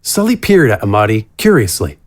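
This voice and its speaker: A man's voice: lowish voice, big pitch swings, fairly quick. A man narrating a scripted fantasy story as its narrator.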